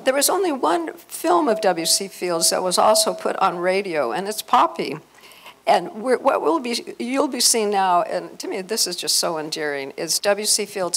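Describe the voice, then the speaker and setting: Creaky-voiced middle-aged woman presenting. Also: mid-range voice. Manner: halting, searching for words. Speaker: event presenter. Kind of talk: informal introduction to an audience. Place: hotel conference room with audience. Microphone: handheld microphone.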